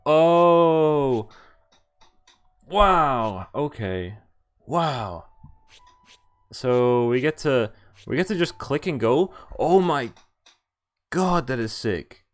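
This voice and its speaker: A man's voice: medium pitch, lively intonation, extremely slow, long pauses, smooth. A man streaming a visual novel, thinking aloud about choices and reading the story text in first person. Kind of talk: live game commentary and reading text aloud.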